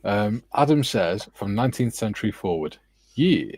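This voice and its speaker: Husky low-voiced man hosting a chat. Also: lively intonation, moderate pace, a little mellow. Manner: jokey, teasing, reads comments aloud. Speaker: stream host reading viewer chat. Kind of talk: casual chat.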